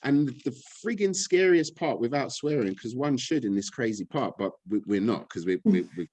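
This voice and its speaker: A man's voice: medium pitch, expressive, normal speed, a little mellow. A man, speaking as a guest, ranting in a frustrated, blunt, impassioned way. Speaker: guest speaker on money and gold. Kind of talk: impassioned casual talk.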